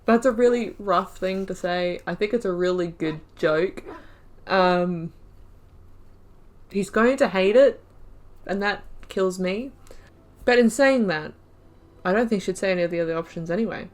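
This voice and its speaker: A woman's voice: medium pitch, measured pace, pauses often. A woman hosting a let's play, chatting and thinking aloud as she plays, laughing often. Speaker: gaming channel host.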